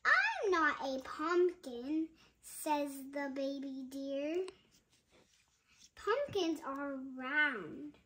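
A woman's voice: high voice, sing-song, extremely slow, sharp tone, a little hiss or hum. A young girl reads a picture book aloud at bedtime in a bedroom, giggling and chatting between lines, filmed on a nearby phone. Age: child. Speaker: child reading a bedtime story. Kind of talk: reading a picture book aloud, slow and sing-song. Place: bedroom at home, indoors.

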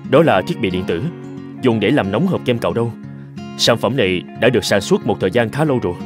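Man acting an animated, earnest agency boss. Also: fairly low pitch, fast talker, over music, close to an everyday voice. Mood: explaining. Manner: polite, persuasive. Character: earnest, persuasive young-company boss, loyal to his trainee. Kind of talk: dubbed cartoon dialogue.

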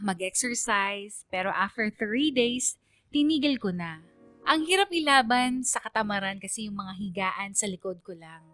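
Narrator role: young Filipino woman voiced by an actress, mid-range, reflective first-person radio storytelling over soft music. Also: medium pitch, no room sound, close to an everyday voice. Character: shy young woman telling her pandemic friendship story. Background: music under the voice.

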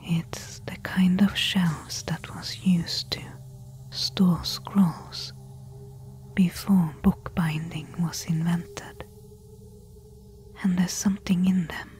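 A woman playing a careful, eager temple explorer in a soft-spoken ASMR audio roleplay, hushed and deliberate. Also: on the low side, fairly even intonation. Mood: quiet wonder. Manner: hushed, slow, deliberate whispering.

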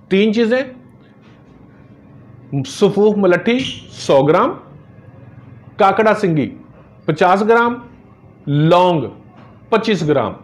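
A middle-aged man, giving home remedy advice to camera as a herbalist, earnest and gesturing as he talks in a small office. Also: sits high. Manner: earnest, gesturing, religious phrases. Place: small office with framed photos on walls.

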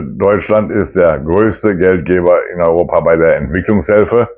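A man's voice: low-pitched, a little mellow, muffled recording. A man in his fifties giving blunt, colloquial political commentary to camera in a disapproving manner, as a politician hosting a weekly round-up. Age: 50s. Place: small plain indoor room.

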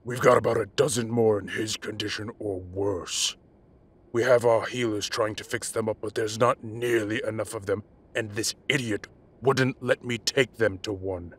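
A man playing a commanding, impatient but protective half-dragon mafia boss in an audio roleplay, with a rough voice and a bossy, scolding manner. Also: on the low side, fairly even intonation, moderate pace. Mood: irritated, scolding.

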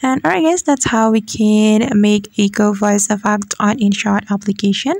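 A woman presents a step-by-step app tutorial as a voice-over. Her mid-pitched voice has noticeable vocal fry. The sound is dry and close to the mic. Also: steady tone, normal speed, a little mellow, no room sound. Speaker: tutorial host. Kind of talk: step-by-step software tutorial narration.

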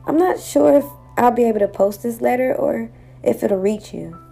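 A woman's voice: on the high side, moderate pace, vocal fry, mellow, faint music behind. A woman acting an earnest, wistful young girl. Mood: earnest, sad. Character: earnest eleven-year-old village girl longing for her father. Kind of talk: audio drama acting.

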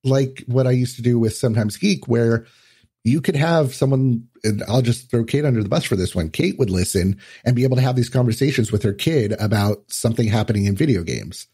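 A man's voice: mid-range voice, brisk, mellow, husky. A man talking as a podcast guest in a casual, explanatory, lightly sweary conversational way.

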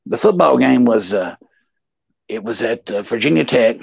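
Man, forties, gravelly and husky, rambling profane storyteller, dull dry livestream sound. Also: mid-range voice, expressive, normal speed, dry recording. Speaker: show co-host telling stories. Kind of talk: casual anecdotal storytelling. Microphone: remote livestream call. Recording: dull, muffled online stream audio.

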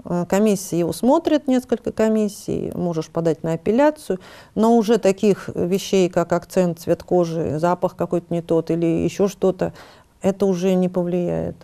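A woman's voice: fairly low pitch, moderate pace, creaky, dark tone. A woman in her fifties from the Urals, a studio guest and educator, talking things over with hesitant fillers in a TV studio. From Urals, Russia. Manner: reflective, hesitant, many fillers. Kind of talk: casual studio conversation. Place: TV studio set.

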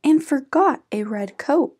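Woman voicing an eager little bear cub, animated. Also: high voice, unhurried, voice pushed up. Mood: indignant. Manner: eager, expressive storybook delivery.

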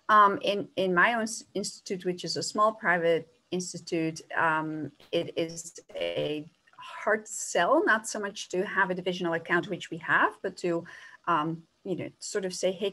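A woman in her thirties, a researcher on a webinar panel over a video call, frank and animated. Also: fairly low pitch. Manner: frank and animated, laughing often. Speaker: psychologist panelist. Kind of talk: panel discussion answer. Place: small room indoors.

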